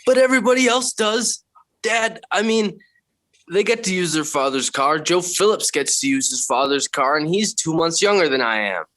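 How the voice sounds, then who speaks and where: An actor playing an indignant, pleading fifteen-year-old son in a 1940s radio sitcom. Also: male voice, fairly high pitch, expressive, normal speed, bright, forceful tone. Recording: vintage 1940s radio broadcast.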